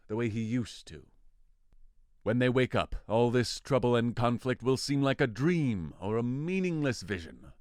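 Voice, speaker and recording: A man's voice: mid-range voice, a little mellow, no room sound, studio quality, close to an everyday voice. A man playing a commanding fairy king in an audio drama, speaking with theatrical authority and deliberation. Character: commanding fairy king, a lordly forest spirit. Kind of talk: audio drama acting of modernized Shakespeare.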